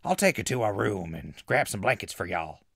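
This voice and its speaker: A man's voice: lowish voice, lively intonation, fairly quick, gravelly, a bit bright, a little hiss or hum. A man playing a friendly, eccentric hermit hunter with chummy, drawling backwoods talk in a comic post-apocalyptic audio drama. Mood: friendly, hospitable.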